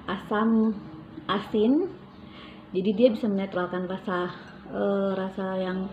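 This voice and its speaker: A woman walks through a recipe in her home kitchen, friendly and explanatory, pausing often on little hesitations. Her voice sits at an ordinary middle pitch. The audio is a little dull, with a faint hum of kitchen noise behind her. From Papua, Indonesia. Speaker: cooking vlogger. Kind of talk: casual cooking demonstration.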